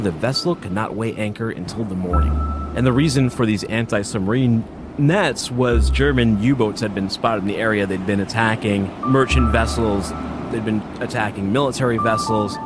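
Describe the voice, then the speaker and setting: Male narrator over loud music. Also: lowish voice. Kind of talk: documentary narration.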